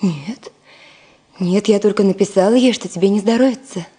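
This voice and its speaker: A woman playing a gentle, attentive middle-aged wife and mother in a radio drama, giving short, reassuring replies. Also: medium pitch, moderate pace, natural voice. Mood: concerned. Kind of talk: Soviet radio drama acting.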